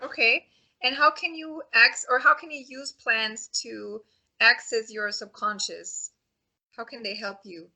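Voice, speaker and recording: A woman's voice: on the high side, measured pace, vocal fry, pushed and bright, low-quality recording. A woman hosting a podcast, opening the episode and asking her guests questions in a friendly manner. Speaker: podcast host.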